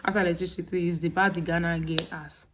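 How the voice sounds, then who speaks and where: A woman's voice: on the low side, level tone, quick pace, slight background noise. A young woman with a Nigerian accent, chatting casually to camera on her vlog. She acts out her friends' questions with laughter. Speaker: vlogger.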